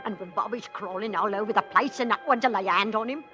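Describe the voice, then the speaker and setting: A woman's voice: medium pitch, fast talker, sharp tone, close to an everyday voice. An actress playing an alarmed, indignant working-class London woman with a Cockney accent in an old radio drama. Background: music.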